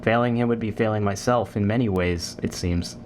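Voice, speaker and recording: A man's voice: lowish voice, brisk, background noise, lightly acted. A man acting as a driven, guarded human commander in a sci-fi audio drama, terse and authoritative. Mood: resolved. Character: driven, guarded human military commander obsessed with alien artifacts. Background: music.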